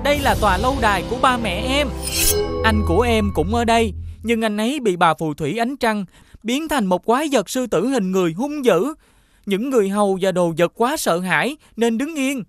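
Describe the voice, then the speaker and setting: A woman's voice: on the high side, expressive, normal speed, dry recording, studio quality, soft background music, close to an everyday voice. A woman playing a grateful, earnest little boy who explains things in a scripted children's fairy tale.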